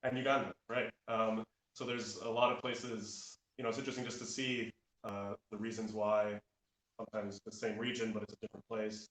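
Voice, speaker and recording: A man's voice: on the low side, level tone, a little fast, a bit bright. A man chatting informally and reflectively as a travel writer being interviewed. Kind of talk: casual interview chat. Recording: roomy, echoing live talk.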